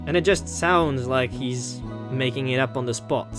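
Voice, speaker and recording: A woman's voice: extremely low pitch, normal speed. A woman doing casual let's play commentary on a visual novel, muttering reactions to herself and swearing. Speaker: gaming video host. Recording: music behind the voice. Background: game music.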